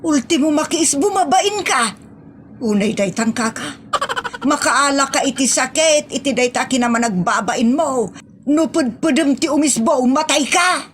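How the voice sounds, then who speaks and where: Casting: actress as exasperated Ilocano wife, scolding, higher-pitched, comedy audio drama, slightly noisy recording. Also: female voice, animated, a little slow.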